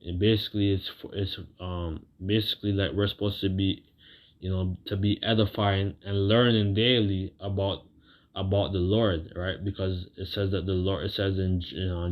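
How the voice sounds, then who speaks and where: Male street-style preacher, deep voice, loose halting delivery with repeated phrases and casual check-ins, muffled lo-fi sound. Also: level tone. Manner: colloquial, seeks agreement with tags like "right". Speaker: religious preacher. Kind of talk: informal sermon with scripture reading.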